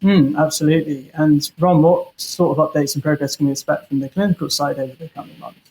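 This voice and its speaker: Male interviewer: higher-set voice, even intonation, orderly prepared questioning. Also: fast talker, rough recording.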